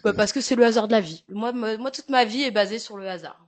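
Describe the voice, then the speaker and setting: A woman's voice: on the high side, quick pace. A young woman with a Parisian French accent, a massage therapist chatting frankly in an interview, with many fillers and jokes. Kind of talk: casual interview chat.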